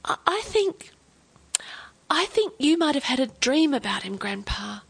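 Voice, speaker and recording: A woman reading a story as a caring young granddaughter, gentle, anxious and hesitant. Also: on the high side, animated, moderate pace, slightly rough, pitched above the performer's own voice.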